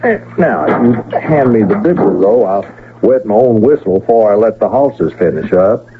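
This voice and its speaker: An actor playing a cheeky traveling peddler in a radio western, with a folksy, teasing American drawl. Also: male voice, on the low side, animated, normal speed, faint music behind, lightly acted. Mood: friendly. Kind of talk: 1970s radio western acting. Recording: noisy, tinny old radio broadcast.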